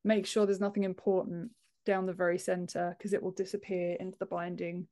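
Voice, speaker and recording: A woman's voice: fairly low pitch, flat delivery, fairly quick, warm tone. A woman in her thirties talking casually as an interview guest on a video call from a room at home. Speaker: podcast guest, author and book formatter.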